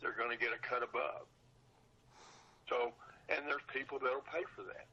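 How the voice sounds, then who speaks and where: An older retired man with a raspy, husky voice chatting casually as an interview guest, plain-spoken and repeating phrases for emphasis. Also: mid-range voice, steady tone, moderate pace, sharp tone, dull audio. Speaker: podcast guest, seller of old radio show recordings.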